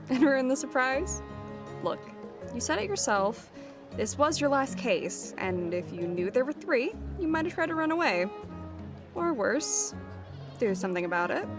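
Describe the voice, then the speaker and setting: A woman's voice: a little high, big pitch swings, unhurried, music in the background. A woman voicing a brash, teasing young adventurer in an audio roleplay. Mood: wry, teasing.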